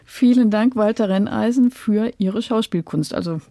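A woman's voice: medium pitch, normal speed, dark tone, no echo, studio quality. A woman presenting a radio interview, asking questions and introducing her guest.

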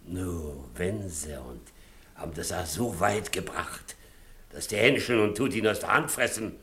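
An actor playing a sly, needling tavern regular in a drama, dry and teasing. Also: male voice, sits low, unhurried, a bit bright, a little hiss or hum. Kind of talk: stage-style radio drama dialogue.

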